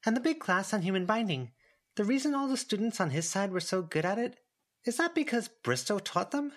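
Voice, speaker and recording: A man's voice: high voice, sing-song, brisk, pitched above the performer's own voice. A man voicing a curious, eager teenage schoolgirl in an audiobook. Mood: probing, curious. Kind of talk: audiobook character dialogue.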